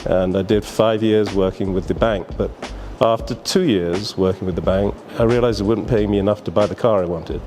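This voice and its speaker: A man's voice: fairly low pitch, steady tone, fairly quick, few pauses, a little mellow, soft background music. A man, a businessman guest telling his life story in a casual, rambling way, often laughing. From Barbados.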